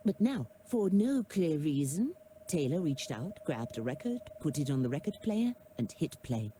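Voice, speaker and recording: A woman narrating a video game's story in a wry, measured storyteller's voice. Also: sits low, very animated, warm tone, a little hiss or hum. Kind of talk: scripted story narration in a game.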